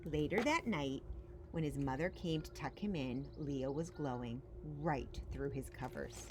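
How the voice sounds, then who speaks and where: A woman's voice: a bass voice, a little slow. A woman reading a children's picture book aloud as a story-time reader, expressively and with dramatic emphasis. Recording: faint background noise.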